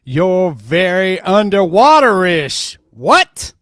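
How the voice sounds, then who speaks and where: A man's voice: high voice, sing-song, slow, fairly bright tone, dry recording, clean studio sound, heavily acted. A man acting an exasperated, scolding lawyer in a dramatized deposition reading.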